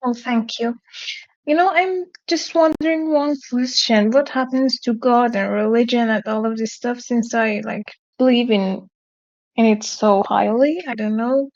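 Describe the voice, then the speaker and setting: Woman, persian accent, higher voice, hesitant and apologetic, muffled dry audio. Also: unhurried, a little mellow, no echo. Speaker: listener asking a question.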